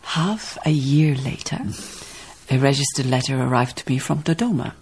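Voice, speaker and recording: An actress in a radio drama playing a dignified, thoughtful baroness, telling her tale in measured literary phrases. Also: very deep voice, a little mellow, slight background noise. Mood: storytelling. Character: reflective, dignified baroness, storytelling colonial farm owner. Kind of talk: radio drama acting and narration.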